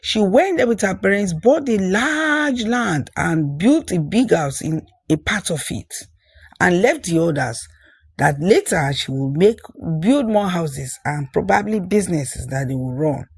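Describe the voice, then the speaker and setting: A storyteller reads a scripted folktale in a husky voice of medium pitch. The phrasing is deliberate, with repetition for emphasis. The sound is dry, with no room around it. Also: animated, dry recording.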